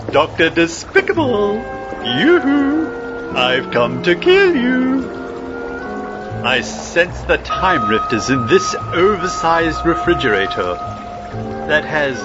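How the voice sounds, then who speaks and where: An actor playing a pompous, theatrical, self-admiring supervillain with a sing-song, self-satisfied delivery in a comedy audio drama. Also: male voice, on the high side. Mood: gleefully menacing. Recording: dull lo-fi audio, loud music underneath.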